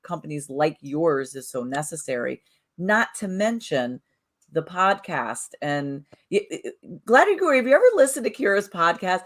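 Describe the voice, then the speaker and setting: A woman with a notably low voice hosts a relaxed spiritual conversation. She wanders through her thoughts, restarting sentences and tossing in "you know" often. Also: moderate pace. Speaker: podcast co-host. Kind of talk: casual spiritual chat.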